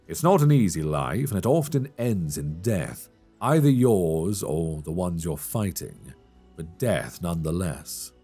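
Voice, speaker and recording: A man playing a calm, reassuring elf adventurer in an intimate fantasy ASMR roleplay, speaking gently and soothingly close to a binaural microphone. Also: deep voice, slightly rough, heavily acted. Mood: tender, encouraging. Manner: gentle, soothing, measured delivery.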